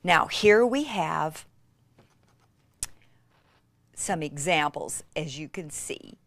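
Excitable woman with swooping, lively pitch. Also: extremely slow. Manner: excitable, gushing, breathy exclamations and gasps. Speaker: craft instructor demonstrating techniques. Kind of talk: enthusiastic hands-on craft demonstration.

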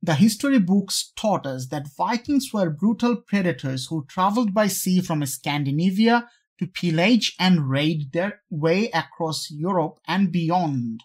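A model reader giving a scripted, formal read-aloud with deliberate, careful phrasing. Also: medium pitch, lively intonation, a little mellow, breathy edge. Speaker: sample reader for English test practice.

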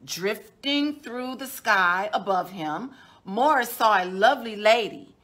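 A middle-aged woman, a children's storytime host, reading a picture book aloud in a measured, deliberate way with drawn-out words. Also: mid-range voice, lively intonation, a bit bright. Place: indoors, small decorated room.

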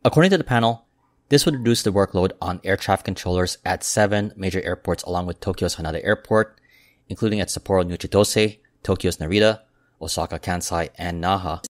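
A man with a deep, low voice reads aviation news in a steady, measured way. The recording is dry and close, with no room sound around him. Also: dry recording. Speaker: aviation news channel host. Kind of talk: scripted news narration. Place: voice-over, not recorded in the pictured airport.

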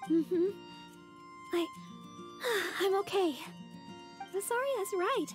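A woman voicing a sweet, gentle young idol in a game cutscene, soft and high-voiced, timid and stammering. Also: animated, slow pace, soft background music, full character voice. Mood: steadied.

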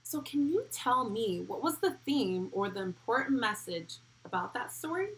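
A young woman hosting a children's lesson, speaking directly to the child with animated, encouraging praise. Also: on the high side, moderate pace, low-quality recording. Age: young adult. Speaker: children's read-along host and school speech therapist. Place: small furnished room at home, indoors.

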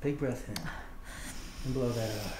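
A man's voice: mid-range voice, unhurried. Middle-aged chiropractor man, echoey room. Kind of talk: hands-on patient talk, explaining adjustments. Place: small indoor treatment room. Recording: echoey room with background noise.

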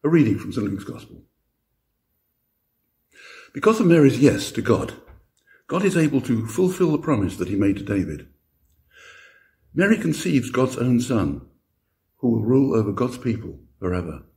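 A man's voice: medium pitch, big pitch swings, normal speed, long pauses, dark tone. An older man reading scripture and preaching as a lay minister in a church. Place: church interior.

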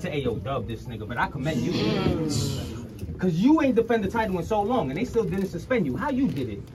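Animated battle rapper over crowd noise. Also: male voice, sits high, a little fast. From New York. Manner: confrontational, storytelling. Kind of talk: battle rap verse. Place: crowded indoor room. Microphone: handheld camera at close range. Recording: crowd chatter in a packed room.

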